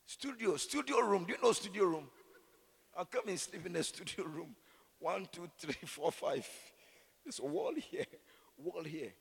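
A man with a Ghanaian accent and a raspy voice, preaching in an animated, conversational way full of rhetorical questions and repeated phrases. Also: sits high, measured pace. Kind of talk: sermon with conversational, opinionated asides. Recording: echoey hall with long reverb.

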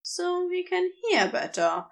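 A woman reading a fantasy story aloud in measured, expressive narration. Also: very high-pitched, vocal fry. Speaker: podfic reader.

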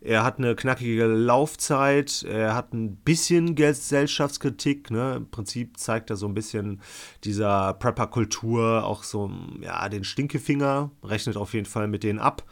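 A man's voice: fairly low pitch, a little slow. A man giving a casual, colloquial film review as a podcast co-host.

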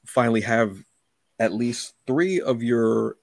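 A man's voice: medium pitch, takes it slowly, gravelly, darkish tone, dry recording. A man hosting a podcast in a casual chat, speaking emphatically and acting out little quoted remarks. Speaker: podcast host.

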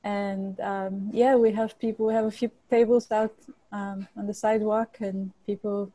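A woman's voice: medium pitch, little pitch movement, normal speed, dark tone, dry recording, poor audio. A woman from Bulgaria, a chef and cookbook author, answering interview questions earnestly with frequent restarts.

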